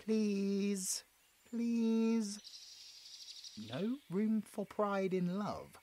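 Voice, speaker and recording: Man acting a jittery, high-voiced British monster hunter. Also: extremely slow, mellow, slight background noise. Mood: anxious, pleading. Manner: stammering, pleading, with muttered sarcastic asides. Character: frightened, sarcastic injured young monster hunter, placating his captor. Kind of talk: audio roleplay acting.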